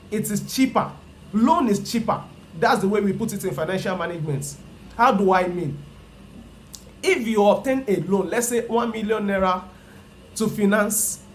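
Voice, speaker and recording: A speaker with a Nigerian accent and a Yoruba mother tongue teaches personal finance straight to camera. The voice sits at a middle pitch and restates each idea several times for emphasis. The speaker checks in with rhetorical questions, and a faint room ambience runs underneath. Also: slight background noise. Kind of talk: explanatory lecture to camera.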